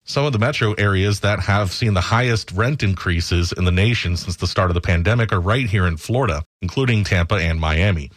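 A man's voice: low-pitched, brisk, hardly any pauses, no room sound, studio quality. An American man reading scripted news headlines as a radio anchor.

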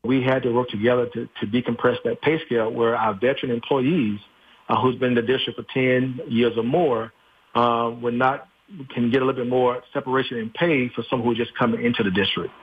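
Phone-like, very narrow audio: male interviewee, husky, speaking haltingly off the cuff. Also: medium pitch, fairly even intonation. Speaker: union official being interviewed. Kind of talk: unscripted interview answer. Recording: tinny, narrow phone-like line.

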